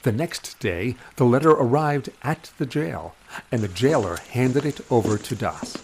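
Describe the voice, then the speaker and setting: A man's voice: medium pitch, animated, measured pace, a little mellow, a little hiss or hum, low-quality recording. A man narrating a scripted audio drama as its storyteller.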